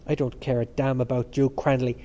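A man voicing a sly, gossiping young male student who talks in quick, hushed bursts. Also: mid-range voice, level tone, natural voice. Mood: earnest.

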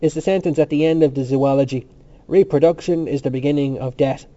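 A man voicing an excitable young male student in a story, eager and insistent as he declaims. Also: on the high side, fairly quick, highs cut off, close to an everyday voice.

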